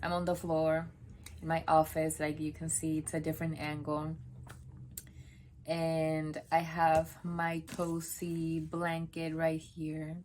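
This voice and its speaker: A woman's voice: deep voice, completely flat delivery, slow, darkish tone, slight background noise. A young woman from Puerto Rico, a native Spanish speaker, chatting to camera from the floor of her home office, rambling and correcting herself as she goes. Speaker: book vlogger. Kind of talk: casual talk to camera.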